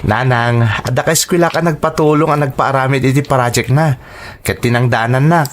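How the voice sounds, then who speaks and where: A man's voice: medium pitch, moderate pace, a little hiss or hum, natural voice. A man playing a dutiful teenage son in a radio drama, tender and earnest, with an Ilocano accent. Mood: eager.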